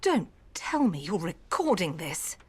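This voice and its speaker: A woman's voice: on the low side, big pitch swings, unhurried, husky, heavily acted. An actress playing a suspicious, hostile woman in a game, snapping and accusatory. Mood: angry, accusing.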